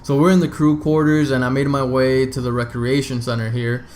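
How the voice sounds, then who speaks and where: A man's voice: medium pitch, little pitch movement, fairly quick, darkish tone, slight background noise. A man narrating a video game walkthrough in an informal, easygoing, explanatory way. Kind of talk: casual game walkthrough commentary.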